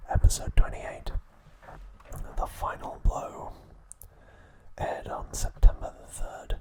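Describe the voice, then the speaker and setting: A man narrates in a full, breathy whisper, with no voiced tone. He speaks as an ASMR host giving a recap. His delivery is slow and measured, one phrase at a time. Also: low-quality recording.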